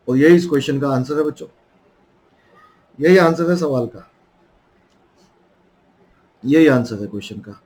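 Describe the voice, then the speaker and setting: A man's voice: a little high, a little mellow, low-quality recording. A young man with an Indian accent and a sore throat, teaching a math lesson in a coaxing way and calling his students children.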